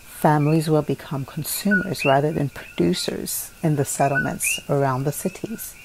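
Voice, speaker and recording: Woman, low voice, solemn measured read of a declaration; noisy home recording. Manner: solemn, measured reading with occasional slips and restarts. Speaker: narrator reading a declaration. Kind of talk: earnest read-aloud declaration.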